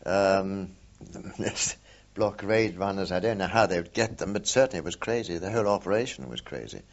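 An older man with a very deep voice recalls his wartime service in a slow, halting way, filling gaps with ums and uhs. The recording sounds dull and lo-fi. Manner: hesitant, many ums and uhs, measured. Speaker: war veteran interviewee. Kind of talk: oral history recollection.

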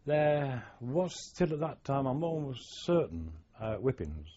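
A man's voice: mid-range voice, sing-song, a little slow, warm tone. An older man, a former sailor, thoughtfully recalling his childhood and navy days in an oral history interview. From England. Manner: reflective, storytelling, wry. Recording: dull lo-fi archive tape.

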